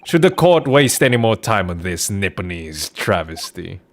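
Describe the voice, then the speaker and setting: A man playing a haughty, sneering lord of a prosecutor in a courtroom game, speaking in a pompous, drawn-out, theatrical way. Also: sits low, very animated, a little hiss or hum, voice pushed down. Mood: cold scorn. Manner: pompous, drawn-out, disdainful delivery.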